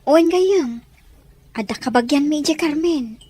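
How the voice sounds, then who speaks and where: A woman's voice: high voice, expressive, moderate pace, mellow. A woman playing a frank, questioning acquaintance who gives advice in an Ilocano radio drama, with an Ilocano accent. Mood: informative.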